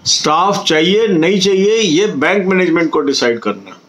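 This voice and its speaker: An Indian man in his fifties speaks as a coaching host giving an explanatory update. His voice sits a little high for a man, and he goes over points deliberately, repeating them for emphasis. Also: lively intonation, a bit bright, poor audio. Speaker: exam coaching video host. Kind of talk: explanatory update to camera for exam candidates. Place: small indoor room at home.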